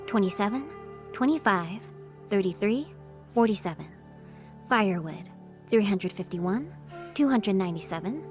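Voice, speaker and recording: A woman's voice: medium pitch, close to an everyday voice. An actress playing a stoic young female grandmaster in a video game, terse and matter-of-fact, reciting with precision. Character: young female grandmaster, stoic, literal-minded master of numbers. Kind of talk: dramatic video game voice acting.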